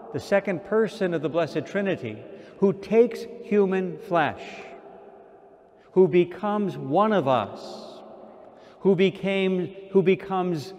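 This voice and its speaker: A man in his sixties, an archbishop, preaching a sermon at a pulpit mic in a large church, slow and measured with repeated phrases. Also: high-pitched, a little mellow. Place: large church sanctuary, indoors. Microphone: stand mic at pulpit. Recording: long church reverb.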